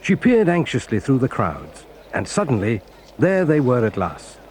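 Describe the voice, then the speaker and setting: A man narrating a children's audio drama in a measured, expressive storytelling way. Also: mid-range voice, slight background noise.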